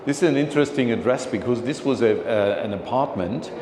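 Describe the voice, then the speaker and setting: A man giving a slide talk to an audience, speaking haltingly with many fillers and restarts and calling for the next slide. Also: medium pitch. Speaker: presenter at a philatelic society meeting. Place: meeting hall. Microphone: handheld or lapel mic. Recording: echoey hall, noisy room recording.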